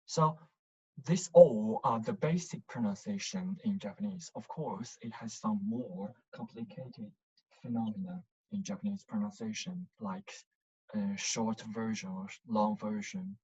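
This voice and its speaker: Slow-paced language teacher, muffled lo-fi recording. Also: mid-range voice, dark tone, slightly rough. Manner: deliberate, instructive, with checking questions. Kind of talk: language lesson, explaining and drilling syllables.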